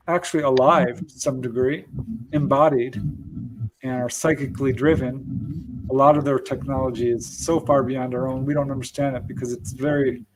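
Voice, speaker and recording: A man's voice: mid-range voice, rough recording. A man speaking as a guest researcher, answering conversationally with anecdotes in an interview. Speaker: guest UFO researcher and author.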